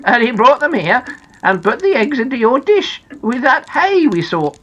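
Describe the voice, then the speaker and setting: A woman's voice: on the high side, normal speed, pitched above the performer's own voice. A woman voicing a cheerful, teasing male gnome, somebody's younger brother, in a children's storybook. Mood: amused. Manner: lively, teasing.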